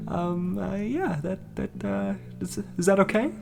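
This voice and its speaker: A woman playing a jittery, sly property buyer in an audio drama, halting and repeating words with nervous chuckles. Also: mid-range voice, gravelly, a little mellow, slight background noise. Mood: nervous, eager.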